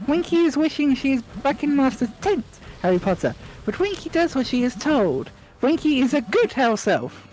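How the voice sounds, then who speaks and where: A nervous little female house elf, squeaking in a high, quivering voice. She talks in anxious, servile broken grammar, and her pitch leaps around wildly. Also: big pitch swings, moderate pace, few pauses, darkish tone, rough recording. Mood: timid servile.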